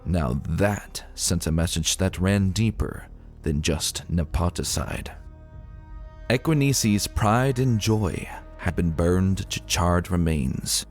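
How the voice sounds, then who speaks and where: Male narrator, low register, dramatic audiobook-style storytelling over a music bed. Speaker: narrator of fan fiction readings.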